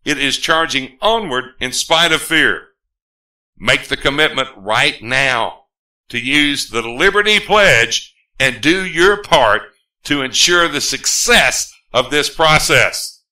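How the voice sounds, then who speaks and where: A man's voice: mid-range voice, animated, slow pace, sharp tone, rough recording. A man from the United States reading his own scripted political appeal in an earnest, emphatic, rhetorical manner. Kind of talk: scripted political speech, read aloud.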